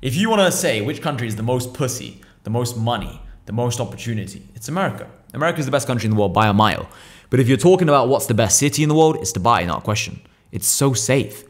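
Fast-talking young English man. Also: medium pitch, expressive. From England. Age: 20s. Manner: fast, blunt, swears freely. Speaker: podcast guest, business owner. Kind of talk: casual podcast conversation. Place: room seen, small room at a table indoors. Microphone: boom mic on an arm.

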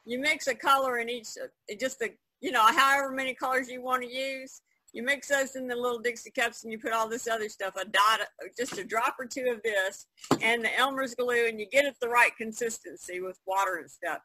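Female podcast participant, artist. Bright, forward voice, dry close sound, casual explanatory chat on a video call. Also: on the high side, fairly even intonation, fairly quick, dry recording, poor audio. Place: online video conference call, indoors.

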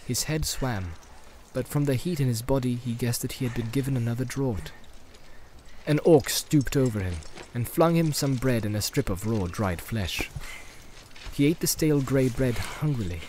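A man's voice: medium pitch, normal speed, darkish tone, slight background noise, rough recording. A man narrating a fantasy novel in a dramatic, scripted audiobook style. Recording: faint ambient soundscape behind.

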